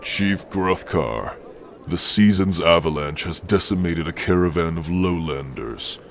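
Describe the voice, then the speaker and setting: A hulking orc warrior with an exceptionally deep voice. He speaks slowly and sternly, with archaic, menacing phrasing and dark amusement, in an ASMR fantasy roleplay. Some background noise sits behind him. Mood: formal, reporting. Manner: slow, archaic, threatening phrasing.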